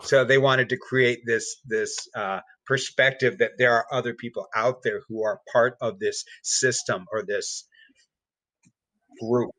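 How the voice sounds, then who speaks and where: A man, a podcast guest, giving a personal account in casual conversation, halting, with many repeated words and restarts. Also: medium pitch, steady tone, pushed and bright, dry recording, rough recording.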